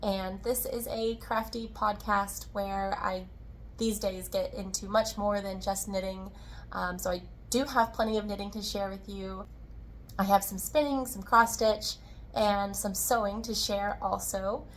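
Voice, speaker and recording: A woman with a slightly hoarse, tired voice hosting a casual, chatty crafting video in a friendly way. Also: mid-range voice, fairly even intonation, a little slow, slight background noise. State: slightly hoarse, tired-sounding from recovering from illness. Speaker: podcast host, yarn dyer and shop owner.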